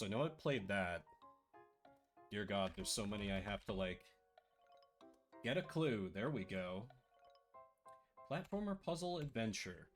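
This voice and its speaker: A man chats on his live stream in a lively, bouncing voice that is a little rough and scratchy today. He talks in a playful, sarcastic way. Faint music plays behind him. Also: mid-range voice. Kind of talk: casual live-stream chat.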